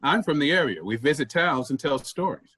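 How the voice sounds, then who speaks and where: A man playing a calm, hospitable travelling actor in a staged play reading, with a reassuring, plain manner. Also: on the high side, fairly quick, slightly rough, no echo, full character voice. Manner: reassuring, friendly, plain-spoken.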